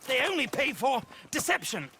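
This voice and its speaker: High-pitched flamboyant British showman. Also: sing-song, normal speed, creaky, noisy background, full character voice. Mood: grand, persuasive. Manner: grandiloquent, theatrical, plummy. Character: flamboyant male circus showman calling himself Margaret, theatrical con artist. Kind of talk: video game cutscene acting.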